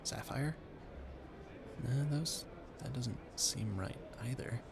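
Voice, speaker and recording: A man playing a polite, flustered gentleman at a Gilded Age ball in an audio roleplay, effusive and rambling, stammering through formal talk. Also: mid-range voice, slow, gravelly, background noise. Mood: awestruck. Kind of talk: audio roleplay addressed to the listener.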